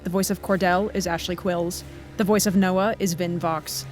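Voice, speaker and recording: A man's voice: high voice, little pitch movement, moderate pace, soft background music. A man reading scripted closing credits as a podcast announcer.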